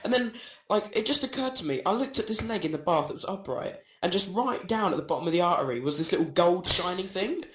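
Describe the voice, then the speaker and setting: Young man, rambling absurd storyteller, slightly high voice, roomy echo. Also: lively intonation, fast talker, hardly any pauses. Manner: rambling, giggly, filler-heavy. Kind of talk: absurd improvised comedy story. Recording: echoey room.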